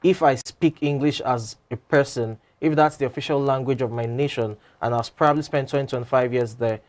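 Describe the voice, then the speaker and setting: A man sits in as a guest and comments in a measured way. He fills his pauses with "you know" and "um" as he builds his argument. His voice is in a middle pitch for a man. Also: steady tone, a little mellow. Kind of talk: studio talk show commentary.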